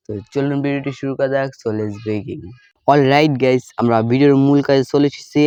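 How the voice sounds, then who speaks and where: A man with a Bangladeshi accent walks viewers through an app in a casual tutorial style. His voice is clear and smooth at a medium pitch, and he speaks quickly, often tagging on a short check for agreement. The voice-over sounds close, with a slightly dull, lo-fi tone. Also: darkish tone, dry recording. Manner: repeatedly adds a checking "okay?" after statements. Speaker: tech tutorial host.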